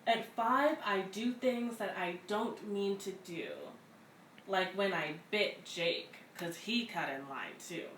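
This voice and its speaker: A woman reads a picture book aloud for young children, heard from a distance in an echoey room. Her delivery is expressive and deliberate. There is faint noise behind her. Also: medium pitch. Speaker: read-aloud story reader at a community center. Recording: roomy, distant mic, faint background noise.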